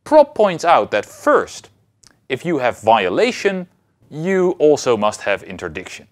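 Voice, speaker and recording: Male lecturer, thirties, highly animated melody, deliberate emphatic phrasing, explanatory delivery. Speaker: university philosophy lecturer.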